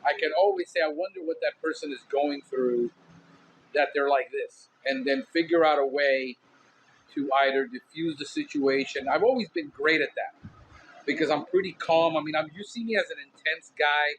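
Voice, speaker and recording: Loud, outspoken man with a Cuban Miami accent. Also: on the high side, moderate pace, a little hiss or hum, poor audio. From Miami, USA. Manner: smoking a cigar while talking. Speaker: podcast host. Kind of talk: casual monologue.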